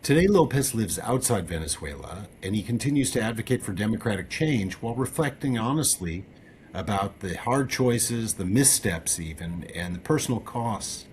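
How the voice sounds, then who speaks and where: A man hosting a podcast, reading scripted narration in a steady, serious way. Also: fairly low pitch, moderate pace, slight background noise. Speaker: podcast host.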